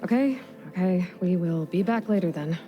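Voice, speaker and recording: An actress playing a capable, wry young huntress in a video game, speaking in an understated conversational way. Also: female voice, fairly low pitch, lively intonation, moderate pace, muffled recording, faint music behind, natural voice. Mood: wry, determined. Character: capable, wry, modest young female machine hunter.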